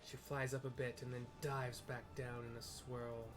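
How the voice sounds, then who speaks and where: A man's voice: medium pitch, little pitch movement, moderate pace, over music. A man narrating a video game live, describing the scene informally for viewers. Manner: descriptive, informal, reads dialogue aloud.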